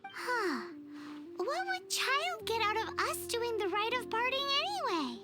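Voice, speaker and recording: A woman's voice: very high-pitched, sing-song, unhurried, over loud music, exaggerated voice. A woman voicing a tiny, childlike, pert fairy companion in a video game, with a cutesy manner. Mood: puzzled. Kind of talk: scripted video game dialogue.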